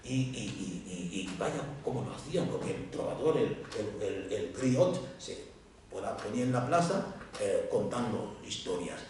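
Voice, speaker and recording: Male African writer on a panel, second-language speaker, animated and halting delivery, low voice, distant echoey room sound. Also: slight background noise. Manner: animated, many repeated words and restarts. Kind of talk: round-table talk. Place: lecture room. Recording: echoey hall, distant mic.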